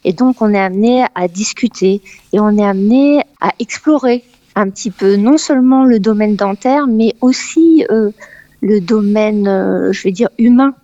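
A woman's voice: medium pitch, normal speed, dark tone, no room sound. A woman from Paris, speaking as a novelist guest in an interview. She talks animatedly and exclaims a lot, breaking off often with hesitations. The sound is slightly dull and very dry. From Paris, France. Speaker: novelist guest, former dental expert. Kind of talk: radio interview, conversational.

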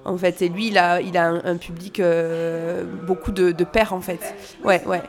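A woman's voice: on the low side, level tone, normal speed, soft background music. A woman in her thirties from France, a doula, talking casually in an interview, hesitant with many fillers and restarts, recorded in a small family café. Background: family chatter in the café.

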